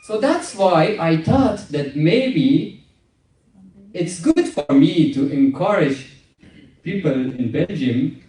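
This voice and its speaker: A man in his young adult years performing stand-up in English. He talks slowly in a slightly raised voice that swoops up and down, and his delivery is wry and dry. The sound is roomy and picked up from a distance. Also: a little high. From Afghanistan. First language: Farsi. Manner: wry, deadpan joking with laughing asides. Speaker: stand-up comedian. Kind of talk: stand-up comedy set.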